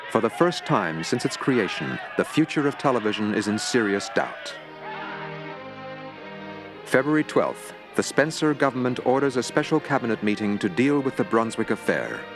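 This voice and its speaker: A man giving dramatic, newsreel-style scripted narration for an animated film. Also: medium pitch, moderate pace, over music.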